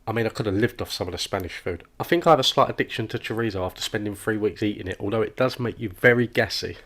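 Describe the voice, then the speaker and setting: Man acting fast-talking, oblivious office chatterbox. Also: lowish voice, a bit bright. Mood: breezy chattering. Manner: rambling, oversharing, cheerfully oblivious. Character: oblivious, relentlessly chatty male office worker. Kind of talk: comic animated dialogue.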